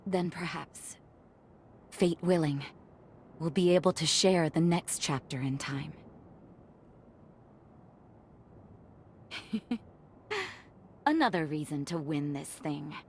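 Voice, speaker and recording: Confident teasing warrior lady, lowish voice, really unhurried, wry and flirty game dialogue. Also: slight background noise. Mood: warm, hopeful. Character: confident, teasing woman warrior, affectionate with her comrade. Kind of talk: dramatic game cutscene dialogue.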